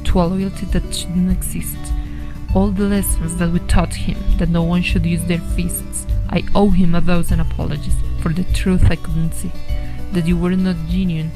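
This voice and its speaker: Female poetry reader, even intonation, plenty of vocal fry, measured delivery with guitar behind. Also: on the low side, poor audio. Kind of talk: recited poem, measured reading. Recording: played-back clip with guitar music. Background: guitar music.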